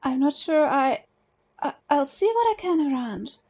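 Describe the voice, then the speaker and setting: A woman's voice: high-pitched, sing-song, a little slow, dull audio, pitched above the performer's own voice. A woman voicing a mild, congenial male orderly in a story, polite and a little hesitant. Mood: mild, uncertain.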